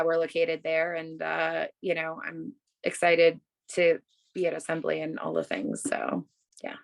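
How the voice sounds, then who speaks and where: A woman's voice: sits low, gravelly, a bit bright. A woman in her thirties from Massachusetts, a bookstore owner answering interview questions on a video call in a casual, upbeat way with many fillers. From Massachusetts, USA. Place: home room.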